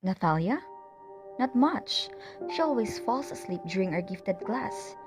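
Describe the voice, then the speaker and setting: Girl acting friendly chatty student who never sleeps, middle pitch, faint music, radio drama. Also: lively intonation, measured pace, natural voice. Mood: friendly, open.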